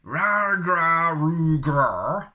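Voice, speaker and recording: A big hairy storybook alien roars in short wordless bursts. The voice growls and howls loudly, lifted well above ordinary male speech. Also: a little high, animated, very slow, voice pushed up, exaggerated voice. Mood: loud roaring. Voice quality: growling, howling.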